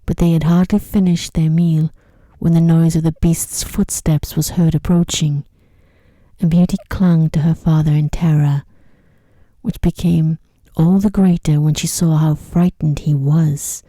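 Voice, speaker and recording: A woman narrating an ASMR bedtime story in a gentle, hushed, carefully enunciated way, close to the microphone. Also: sits low, steady tone, a little slow, no echo. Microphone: close microphone in a dry space.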